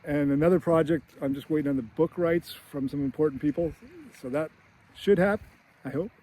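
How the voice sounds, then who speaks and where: A man's voice: a little high, fairly quick, mellow, rough recording. Chatty middle-aged Boston man outdoors. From United States. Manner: chatty and rambling, with laughs and restarts. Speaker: screenwriter and teacher. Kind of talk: casual vlog to camera. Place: outdoors near a beach.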